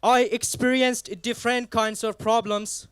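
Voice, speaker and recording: A young man with an Afghan accent giving a personal speech at a community event, halting and deliberate, in short non-native English phrases. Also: very high voice, level tone, clear, resonant tone, no room sound. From Afghanistan, raised partly in Pakistan. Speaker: guest speaker at a community event. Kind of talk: public speech, personal testimony.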